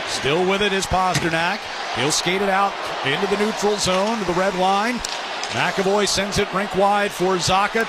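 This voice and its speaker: A man doing live radio play-by-play commentary of a hockey game. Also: high-pitched. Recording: loud arena noise behind. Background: hockey arena ambience.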